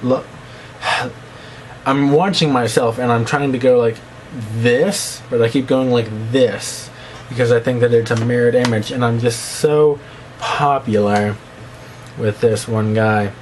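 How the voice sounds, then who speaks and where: A man's voice: steady tone, measured pace. A young man with a slight lisp rambles to camera in a loose vlog, jumping between topics and mocking himself. His voice sits in an ordinary middle register, with a faint hiss behind it. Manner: rambling, self-mocking, breaks into squeaky put-on voices. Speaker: vlogger. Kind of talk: rambling casual monologue to camera.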